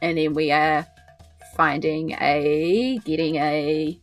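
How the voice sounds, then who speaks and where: A woman's voice: low voice, flat delivery, slow, faint music behind. A woman with a New Zealand accent playing a tabletop role-playing game, chatting casually partly in character.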